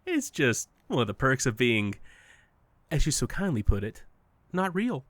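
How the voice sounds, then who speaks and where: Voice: mid-range voice, very animated, measured pace, fairly bright tone, dry recording. An actor playing a smug, playful, unreal best friend in an audio drama, teasing and condescending in a sing-song way. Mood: amused, smug. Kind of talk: audio fiction podcast acting.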